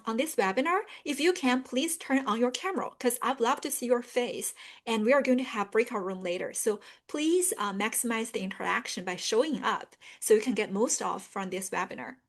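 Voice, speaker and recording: A woman's voice: on the high side, fairly bright tone. A middle-aged woman from northern China with a Chinese accent, hosting an online career webinar in an earnest tone with many fillers. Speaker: webinar host and career coach. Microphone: video call.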